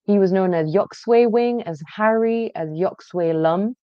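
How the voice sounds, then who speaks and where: A woman presenting a genealogy database, explaining each step in a conversational way with frequent pauses. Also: medium pitch, clean tone, no room sound, lo-fi sound. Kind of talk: screen-share database walkthrough.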